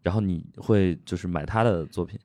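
A man hosting a casual podcast chat, joking and interviewing guests with quick affirmations. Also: low-pitched, mellow, no room sound. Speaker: podcast host.

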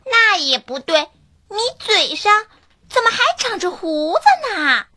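Very high, bright little girl. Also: sing-song, a little slow, full character voice. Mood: suspicious. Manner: questioning, childlike. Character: clever, wary little granddaughter, young girl. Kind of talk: children's storybook character acting.

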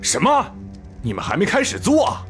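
An arrogant wolf demon soldier for a fantasy audio drama, pitched high and swinging dramatically. He is foul-mouthed and hot-tempered, sneering as he threatens. Music plays softly beneath him. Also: wildly swooping pitch, normal speed. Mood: angry, demanding. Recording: music under the voice.

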